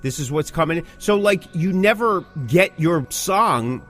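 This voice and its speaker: A man's voice: fairly high pitch, animated, measured pace, a bit bright, faint music behind. A middle-aged man co-hosting a podcast in casual chat, emphatic and prone to repeating his phrases. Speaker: podcast co-host.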